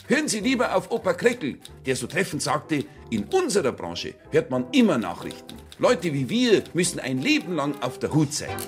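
A man's voice: fairly high pitch, huge pitch swings, normal speed, few pauses, soft background music. A man giving a dramatic reading of official letters in a mock-formal, emphatic manner.

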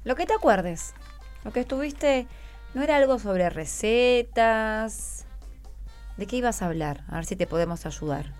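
A woman's voice: on the high side, expressive, unhurried, a little mellow, faint music behind. A woman with a Rioplatense Argentine accent hosting an informal radio interview, patiently encouraging her guests with questions. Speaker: radio host interviewing schoolchildren.

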